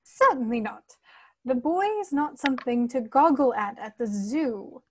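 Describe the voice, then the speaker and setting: A woman playing a brisk, scolding but loving witch mother in a table read, firm and motherly. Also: on the high side, expressive. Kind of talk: online table read of a screenplay.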